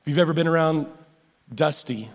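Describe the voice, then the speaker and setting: A man preaching a sermon as pastor, with a loud voice, often stumbling over and repeating his words as he goes. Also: a little high, brisk. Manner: stammering restarts, animated and repetitive. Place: church sanctuary. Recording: long hall reverb.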